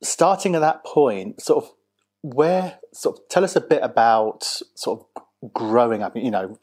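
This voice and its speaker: A man hosts a sit-down interview, and his voice sits at a middling pitch. He talks in a loose, halting way, with frequent restarts and "um"s as he works toward his questions. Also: darkish tone, husky. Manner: halting, with many restarts and fillers. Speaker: interview host. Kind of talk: conversational interview.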